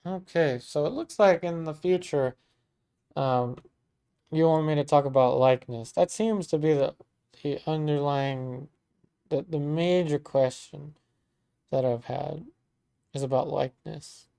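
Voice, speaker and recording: A man's voice: on the high side, measured pace, gravelly, long pauses, smooth. A man in his thirties from Maryland, teaching painting on a casual livestream and chatting with viewers. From Maryland, USA. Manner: relaxed and chatty, many ums and restarts, warm thanks to viewers. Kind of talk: casual livestream chat, reading viewer comments.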